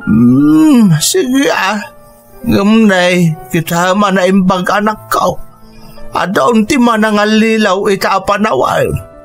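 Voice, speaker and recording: A man playing an elderly, gentle rural father who speaks slowly and reassuringly in a radio drama. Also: high-pitched, gravelly, faint music behind, heavily acted. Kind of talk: Ilocano radio drama acting.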